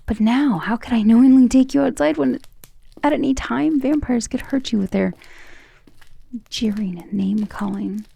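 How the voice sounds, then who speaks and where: A woman voicing an ancient, tender and protective vampire lover in an intimate, breathy romantic ASMR roleplay, with genteel wording and a vulnerable air. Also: fairly high pitch, normal speed, warm tone, no echo, exaggerated voice. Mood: worried, regretful. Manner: intimate, breathy half-whispers with sighs.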